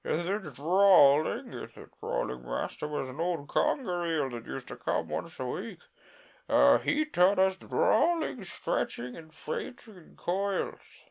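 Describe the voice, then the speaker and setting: A reader voicing a sorrowful, sobbing male Mock Turtle in a storybook reading, with a slow, mournful drawl. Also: medium pitch, expressive, vocal fry, pitched above the performer's own voice, full character voice.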